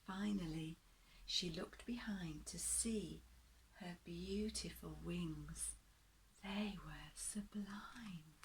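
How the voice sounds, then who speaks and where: A woman reading a children's story aloud in a gentle, deliberate, expressive way, pausing to talk to her young listeners. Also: fairly low pitch, slight background noise, rough recording.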